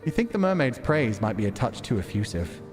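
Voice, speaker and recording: Young guy streamer reading a visual novel out loud n reacting, casual and sweary, medium pitch, music clearly going behind. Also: very animated, fairly quick. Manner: swearing, reacting aloud to the story. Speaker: game streamer. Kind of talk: live visual novel playthrough, casual commentary and narration.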